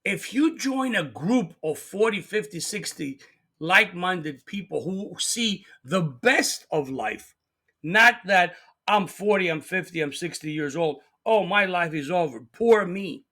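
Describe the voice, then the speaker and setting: A man's voice: high-pitched, animated, a little slow, pushed and bright. A middle-aged man giving an earnest, emphatic motivational talk as host, sometimes repeating words as he presses a point.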